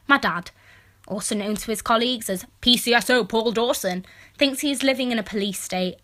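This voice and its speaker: A woman's voice: medium pitch, pushed and bright. A woman voicing an exasperated, sardonic sixteen-year-old girl in an audiobook, telling her story in a wry, emphatic, complaining way. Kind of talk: first-person audiobook narration.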